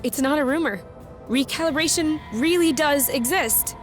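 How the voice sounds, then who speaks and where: Woman acting feisty, high-voiced young rebel woman. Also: normal speed, soft background music, close to an everyday voice. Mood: alarmed, indignant. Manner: blunt, sarcastic, expressive. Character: feisty, defiant young woman, anxious runaway lover. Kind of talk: video game cutscene acting.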